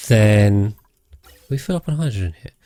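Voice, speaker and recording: A British man with a calm, measured voice, giving casual game commentary and chatting with viewers as he streams. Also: lowish voice. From Britain. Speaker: live streamer, host.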